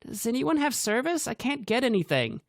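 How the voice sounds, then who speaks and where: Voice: medium pitch, very animated, moderate pace, vocal fry, dry recording, studio quality, pitched above the performer's own voice. A narrator voicing a nervous, hesitant young woman. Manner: hesitant, worried.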